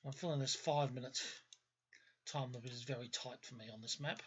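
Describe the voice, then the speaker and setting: A man's voice: medium pitch, fairly even intonation, fairly quick, breathy edge, muffled recording. An Australian man hosting a gaming video, thinking aloud in casual commentary and often qualifying or correcting what he says. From Australia, grew up in Melbourne. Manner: discursive, self-correcting, qualifies his statements. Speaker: host of a gaming video series.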